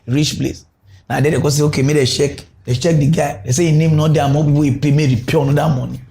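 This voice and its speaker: Man, Nigerian Pidgin accent, high voice, mocking comic rant, exclamatory host. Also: fairly even intonation, quick pace, creaky, dark tone. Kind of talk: comic mock news rant.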